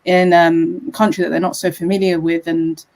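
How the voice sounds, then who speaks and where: A woman's voice: fairly low pitch, level tone, normal speed, a little mellow. A woman hosting a casual podcast conversation, enthusiastic and chatty, with many fillers. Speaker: podcast host.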